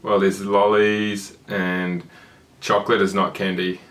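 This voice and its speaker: A man with a New Zealand accent and a low, bright, forceful voice. He answers in a casual chat with short, dry jokes, heard from a little way off the mic. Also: level tone, unhurried, recorded from a distance. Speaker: guest.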